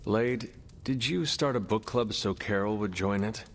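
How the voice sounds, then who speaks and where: A man's voice: on the low side, unhurried. A man playing an earnest, drily teasing sword-wielding hero who speaks formally in video game cutscene dialogue. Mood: inquisitive.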